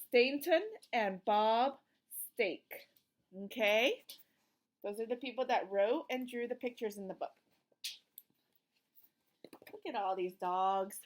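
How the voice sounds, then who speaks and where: Female children's read-aloud teacher, animated swoopy intonation, unhurried, encouraging question-and-answer delivery. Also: a little high. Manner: simple, encouraging prompts with questions and slow, emphatic reading. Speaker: teacher reading a picture book to young children.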